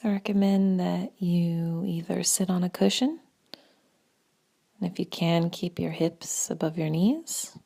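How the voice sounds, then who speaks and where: A woman leading a guided meditation in a gentle, spaced-out way, her soft breathy voice often trailing toward a whisper. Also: lowish voice. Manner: slow, gentle, spaced-out phrasing with long pauses.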